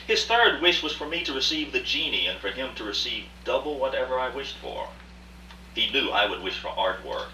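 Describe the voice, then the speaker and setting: An actor playing a vengeful, obsessive gentleman art collector in a radio drama, with formal, theatrical delivery and outbursts. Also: medium pitch. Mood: vindictive. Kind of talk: live radio drama acting. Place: indoor rehearsal of a live radio play. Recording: roomy, distant mic, hum and hiss.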